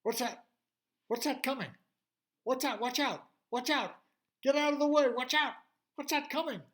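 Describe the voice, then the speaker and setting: A man voicing an eager, anxious girl in a story, full of excitable questions. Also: sits high, slow, pitched above the performer's own voice, full character voice. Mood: alarmed.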